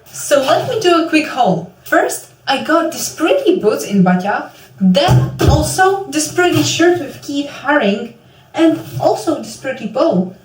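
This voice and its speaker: A woman's voice: sits high, big pitch swings, unhurried, a little hiss or hum. A woman from Ukraine, a native Ukrainian speaker, narrating a video devlog in an informal, self-deprecating way. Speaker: video creator and game developer. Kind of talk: scripted devlog narration with casual asides. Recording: roomy echo, distant mic, background music.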